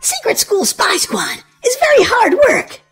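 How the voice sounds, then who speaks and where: Female children's story host, extremely high voice, hugely varied sing-song delivery, music underneath. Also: wildly swooping pitch, unhurried, fairly bright tone. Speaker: host and reader of a children's read-aloud show. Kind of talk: animated children's storybook narration.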